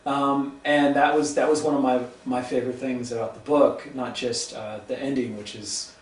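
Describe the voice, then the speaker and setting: A man with an Ohio accent hosting a cooking and book chat, talking in a thoughtful, halting way with many ums. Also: mid-range voice, steady tone, far from the mic. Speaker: host. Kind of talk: casual interview chat. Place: home kitchen. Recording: echoey room, voice off-mic, faint hum.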